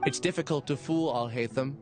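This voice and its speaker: A man playing an earnest, sorrowful researcher in a video game, speaking in a solemn, deliberate way. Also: medium pitch, natural voice. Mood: confessional. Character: earnest young male researcher, resigned, sorrowful and confessional. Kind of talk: English video game voice acting. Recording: music behind the voice.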